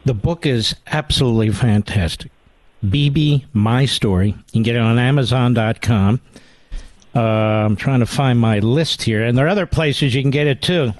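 A man's voice: medium pitch, moderate pace, no room sound. A middle-aged man hosting a talk radio show, speaking emphatically, running an interview and reading ads. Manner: emphatic, salesman-like. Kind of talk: interview and ad read.

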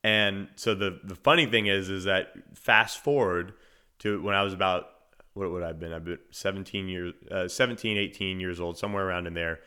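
Deep-voiced man hosting a sports podcast. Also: fairly even intonation, brisk, bright, forceful tone, clear, resonant tone. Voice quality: naturally deep voice. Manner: conversational, analytical, frequent verbal restarts. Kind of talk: solo podcast commentary and storytelling.

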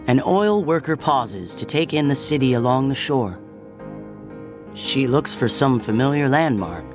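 A man playing a wistful narrator, reading literary audio drama narration in a calm, measured, lyrical way. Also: medium pitch, natural voice. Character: calm, wistful omniscient narrator of a city story.

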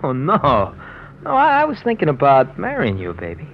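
An actor playing a slangy, smooth-talking crook and gunman in a 1940s radio drama. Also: mid-range voice, huge pitch swings, normal speed. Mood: sly, amused. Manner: slangy tough-guy talk. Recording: muffled, noisy old radio recording.

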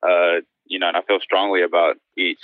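A man's voice: sits low, flat delivery, a little fast, dry recording. A young man in his twenties from Spain and South Carolina, answering interview questions in an easygoing way on a phone line. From born in Spain, raised in South Carolina. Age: 20s. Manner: easygoing, laughs often, short answers. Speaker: guest, college football prospect.

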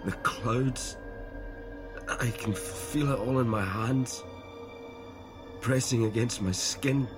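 An actor playing a haunted, overwhelmed young man with strange powers in an audio drama, halting and intense. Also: medium pitch, natural voice. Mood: strained awe. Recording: ominous music under the voice. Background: scary music.

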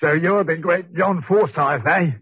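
An actor playing a coarse, sickly Cockney convict in a 1940s radio drama, husky and slangy, on an old muffled recording. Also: male voice, on the high side, measured pace, a little hiss or hum, natural voice. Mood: mocking, curious. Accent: Cockney English. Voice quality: husky, rough. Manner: slangy, chatty, needling. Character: coarse, hot-tempered, sickly working-class male convict.

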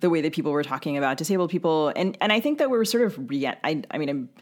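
A woman co-hosting a conversational interview podcast, thinking aloud with halting, restarted sentences. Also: sits low, lively intonation. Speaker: podcast co-host.

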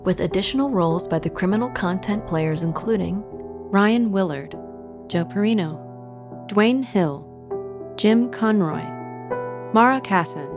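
A woman's voice: mid-range voice, lively intonation, a little slow. A woman announcer giving a scripted read of credits for a podcast. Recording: music bed under voice.

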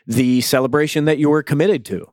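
A man's voice: fairly high pitch, fairly quick, darkish tone, dry recording, clean studio sound. A man co-hosting a casual podcast, speaking thoughtfully with joking asides. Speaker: podcast co-host.

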